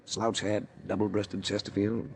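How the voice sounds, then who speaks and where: An actor playing a tough, wisecracking private detective who narrates in a dry, hard-boiled 1940s radio style. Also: male voice, lowish voice, flat delivery, normal speed, vocal fry. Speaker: narrator and lead character. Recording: hissy old radio broadcast.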